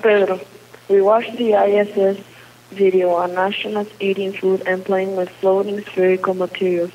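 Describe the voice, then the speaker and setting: A female student reads a question aloud in a flat, even tone. The sound is a dull radio link with faint noise. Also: mid-range voice, normal speed. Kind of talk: read-out question.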